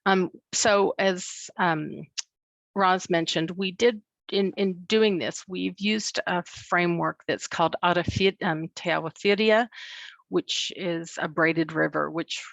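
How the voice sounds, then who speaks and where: Low, gravelly-voiced woman presenting research. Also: dry recording. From not from New Zealand. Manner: measured, with frequent um and uh fillers. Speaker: education researcher presenting at a book launch. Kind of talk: academic presentation. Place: New Zealand, speaking remotely.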